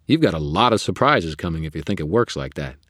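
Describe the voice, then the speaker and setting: A man's voice: deep voice, big pitch swings, fast, fairly bright tone, no room sound, clean studio sound, full character voice. A man voicing an anxious young woman who stammers yet talks bluntly. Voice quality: stammering, urgent.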